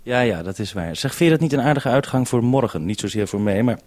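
A man's voice: medium pitch, lively intonation, quick pace, a little mellow, dry recording. A man interviewing informally as a radio programme maker, explaining and asking questions in unscripted talk. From Netherlands.

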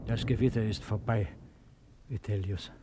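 A man's voice: fairly low pitch, steady tone, moderate pace, very noisy, natural voice. An older man acting a brooding, anxious Roman emperor in a 1950s German radio drama, with solemn, reflective delivery. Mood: solemn awe.

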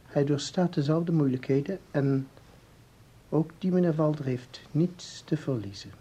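An actor playing a calm researcher in a Dutch radio play, explaining formally and deliberately with small hesitations. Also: male voice, a little high, husky. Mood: lecturing. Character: calm, explaining male researcher of time anomalies.

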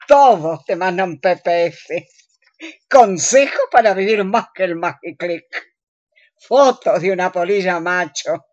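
A woman's voice: lowish voice, slow pace, darkish tone, dry recording, muffled recording. A woman playing a grumpy, sardonic older lady in a comedy radio play, complaining emphatically in a Rioplatense Argentine accent. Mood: griping.

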